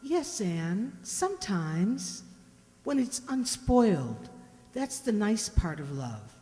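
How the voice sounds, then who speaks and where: A woman playing a protective, gently firm country mother in a radio drama, measured and admonishing. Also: medium pitch, big pitch swings. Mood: gentle, guarded. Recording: hall-like long reverb.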